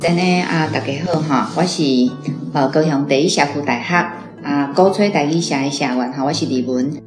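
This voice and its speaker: A woman's voice: low-pitched, brisk. A woman with a Taiwanese accent, a reading club member, giving informal commentary on a story. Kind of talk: informal spoken book commentary. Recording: roomy sound, faint music.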